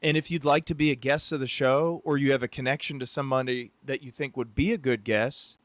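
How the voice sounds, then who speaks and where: A man's voice: medium pitch, fairly even intonation, a little fast, no echo. American man, dry close podcast sound. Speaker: podcast host, psychiatric practitioner. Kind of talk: casual podcast conversation.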